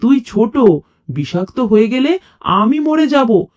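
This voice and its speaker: A playful, fibbing grandfather from a children's storybook, acted out by a narrator. He talks slowly, with lively swings of pitch and a teasing manner. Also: lo-fi sound, close to an everyday voice. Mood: teasing, sly.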